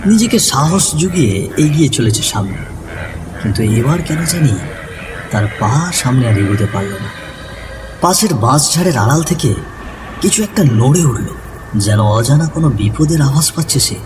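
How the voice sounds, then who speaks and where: A man with a medium-pitched voice narrates a scripted ghost story with dramatic suspense. He sounds somewhat distant from the microphone. Audible ambient noise runs behind his storytelling. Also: lively intonation, normal speed. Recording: distant voice over noisy ambience.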